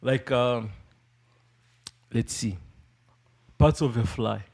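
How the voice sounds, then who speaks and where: A young man from Rwanda, English not his first language, a podcast guest who jokes and pauses with many fillers. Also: mid-range voice, vocal fry, darkish tone. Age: young adult. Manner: joking, hesitant, many fillers. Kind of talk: casual interview chat.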